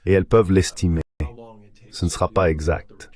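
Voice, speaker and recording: A man with a low voice delivers a voice-over translation. He speaks as an interview guest giving political and economic commentary. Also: flat delivery, moderate pace, darkish tone.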